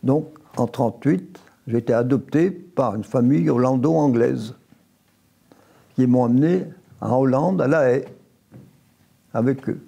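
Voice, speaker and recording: A man in his nineties recounting his life in an interview, unhurried, often restarting and correcting himself. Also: mid-range voice, creaky, warm tone, breathy edge. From France, grew up near Évian after early childhood in Italy. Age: 90s. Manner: unhurried storytelling with frequent restarts and self-corrections. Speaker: veteran interviewee. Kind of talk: oral history interview, recounting memories.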